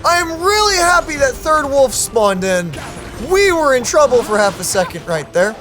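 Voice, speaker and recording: Very high-pitched male gamer. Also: sing-song, moderate pace, fairly bright tone. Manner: chatty, enthusiastic, exclamatory. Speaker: gaming video host. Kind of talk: casual let's play commentary. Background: game music.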